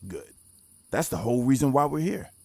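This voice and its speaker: A man playing a guarded, pragmatic mission leader in his forties in a naturalistic audio drama, speaking in a measured, reflective way. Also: mid-range voice, very animated, vocal fry, darkish tone, breathy edge, dry recording, exaggerated voice. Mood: focused, decisive.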